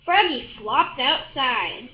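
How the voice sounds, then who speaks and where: High girly-pitched woman reading a kids book out loud, stumbles a bit, echoey room. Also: animated, dull audio. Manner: halting, stumbles over and repeats words. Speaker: storybook reader. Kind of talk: children's picture book read aloud.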